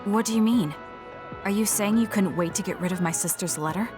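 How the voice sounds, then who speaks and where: A woman's voice: mid-range voice, fairly quick, lightly acted. A woman playing a young, guarded female soldier in a video game, speaking in a blunt, matter-of-fact way. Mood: cool, blunt. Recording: studio voice under loud game music.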